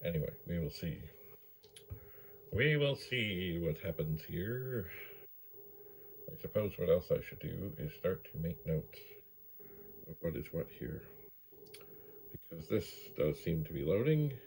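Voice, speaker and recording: A man with a deep, gravelly voice talks through a hands-on demonstration. He rambles casually, as if thinking out loud to himself. A light hum and background noise sit behind his voice. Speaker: host of a vintage computer video series. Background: gentle tape machine hum, clicking.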